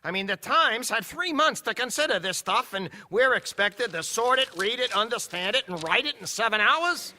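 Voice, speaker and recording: A grumbling, sardonic reporter. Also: male voice, extremely high pitch, animated, moderate pace, hardly any pauses, bright, forceful tone, in a large hall, a little hiss or hum, exaggerated voice. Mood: exasperated. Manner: complaining, sardonic. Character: skeptical grumbling male reporter. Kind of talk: radio drama acting.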